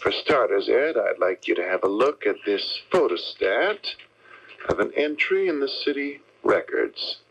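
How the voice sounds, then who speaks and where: An actor playing a formal, businesslike police detective in a 1970s radio drama. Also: male voice, lowish voice, very animated, unhurried, vocal fry, a bit bright, breathy edge, exaggerated voice. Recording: dull 1979 AM radio recording.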